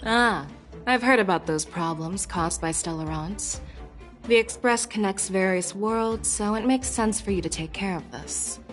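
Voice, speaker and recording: Actress as probing female general, video game character, formal and deliberate, confident, frank, music underneath. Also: medium pitch, natural voice. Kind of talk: scripted video game voice acting.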